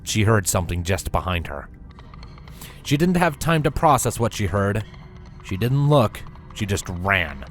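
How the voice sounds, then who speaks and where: A man narrating a scripted story with a dramatic, expressive delivery. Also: on the low side, a little slow, soft background music.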